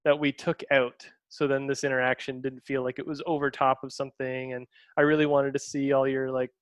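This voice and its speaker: A man's voice: mid-range voice, level tone, a little fast, clear, resonant tone, dry recording. A man, the director, walking through how shots were made, explaining choices with enthusiasm and many fillers. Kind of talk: behind-the-scenes commentary.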